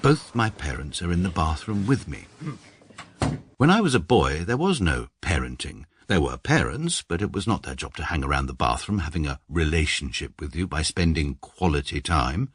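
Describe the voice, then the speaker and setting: A man's voice: very deep voice, big pitch swings, normal speed, slightly rough, exaggerated voice. A man playing a middle-aged, upper-class English barrister who narrates his life formally and pedantically in a radio drama. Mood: wry, exasperated. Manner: formal, pedantic, precisely worded. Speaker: narrator and lead character. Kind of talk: scripted first-person radio drama narration.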